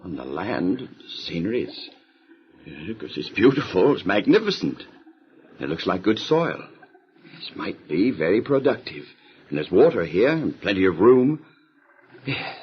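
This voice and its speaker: Man playing husky, devout biblical patriarch. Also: lowish voice, big pitch swings, measured pace, pauses often, exaggerated voice. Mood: pondering. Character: earnest, obedient biblical patriarch and husband, devout. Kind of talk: biblical audio drama acting. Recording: dull lo-fi radio drama recording.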